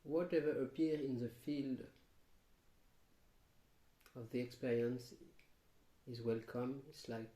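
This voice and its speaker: Calm guy doing guided meditation, mellow voice, super slow w long gaps, roomy echo. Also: mid-range voice. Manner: calm, unhurried, long pauses between short phrases. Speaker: meditation teacher. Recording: roomy, echoing sound.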